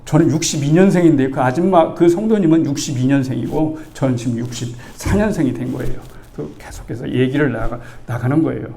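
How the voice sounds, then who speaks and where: A man's voice: medium pitch, a little fast, gravelly, few pauses. A man in his sixties, a pastor, preaching a sermon as a chatty personal story, acting out the dialogue and asking the congregation for agreement. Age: 60s. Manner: conversational, asks the congregation for agreement; animated storytelling, acts out the dialogue.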